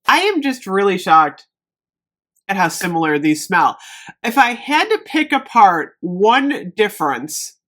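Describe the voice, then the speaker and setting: Chatty lady doing a casual product review, medium pitch, kinda emphatic, thinks out loud to her viewers. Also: very animated, unhurried. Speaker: beauty product review vlogger.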